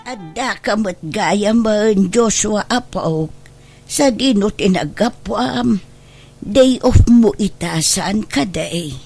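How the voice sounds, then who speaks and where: A woman playing a loving, self-sacrificing elderly grandmother in a radio drama, soft and soothing in manner. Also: mid-range voice, lively intonation, slow pace, faint music behind. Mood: gentle, caring. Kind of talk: Ilocano radio drama acting.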